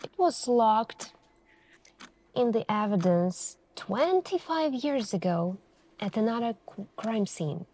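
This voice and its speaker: A woman doing an ASMR roleplay as a suspicious female detective, questioning in a deliberate, pointed way with many pauses. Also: a little high, big pitch swings, dark tone, slight background noise. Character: calm, suspicious, probing female police detective. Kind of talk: ASMR interrogation roleplay, slow deliberate delivery.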